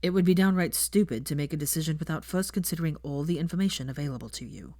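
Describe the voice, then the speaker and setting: Woman reading as a low-voiced, reasoning young man. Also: fast, a little mellow, no room sound, studio quality. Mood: pointed, calm. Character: calm, reasoning young man, pointed and serious. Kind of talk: audiobook character dialogue.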